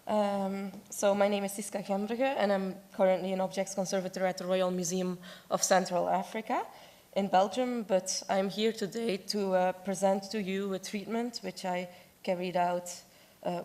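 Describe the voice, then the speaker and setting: A woman's voice: fairly low pitch, a little mellow. A woman giving a prepared conference presentation as an objects conservator, with a voice that is giving out. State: losing her voice.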